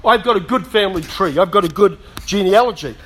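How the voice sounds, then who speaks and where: Hoarse pastor, high voice. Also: male voice, animated, a little fast, a little hiss or hum. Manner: conversational, self-deprecating humour, frequent asides. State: losing his voice. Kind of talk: sermon.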